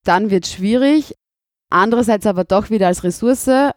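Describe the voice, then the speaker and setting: A woman's voice: mid-range voice, moderate pace, no echo, studio quality. A woman teacher from Burgenland with an Austrian accent, answering interview questions in a thoughtful, candid way.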